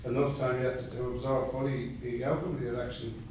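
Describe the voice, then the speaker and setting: A man's voice: medium pitch, level tone, quick pace, a little mellow, slight background noise. A man speaking on a public panel, earnest and thinking aloud with frequent uhs and you-knows. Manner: earnest, reasoning aloud with fillers. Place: large meeting hall. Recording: echoey hall, distant mic.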